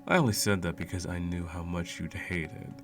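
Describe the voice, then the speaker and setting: A man playing a sadistic sorcerer in an ASMR roleplay, cooing mockingly and condescendingly at a captive pet in a low gravelly murmur. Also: steady tone, fairly quick, over music. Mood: smug, teasing. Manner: teasing, cooing, condescending, theatrical.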